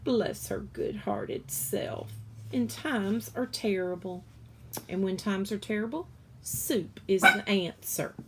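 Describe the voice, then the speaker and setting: A woman's voice: mid-range voice, expressive, slow, background noise. A woman voicing a fat, jolly female cook from a storybook, with hearty, folksy exclamations. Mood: earnest, folksy.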